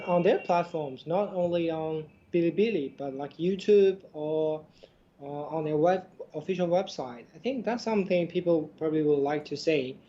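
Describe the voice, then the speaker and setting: A man whose first language is Chinese chats in hesitant, filler-heavy English as a podcast co-host. His voice is mellow and dark. The recording sounds a little dull and lo-fi. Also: a little high. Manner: non-native English, hesitant, many fillers. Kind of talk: casual podcast chat.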